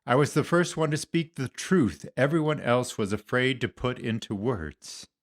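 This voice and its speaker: A man performing a dramatic monologue as a guilt-ridden disciple and fisherman, confiding and deliberate, with theatrical pauses. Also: medium pitch, expressive, lightly acted. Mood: fond, proud. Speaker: congregation member performing a Lenten monologue.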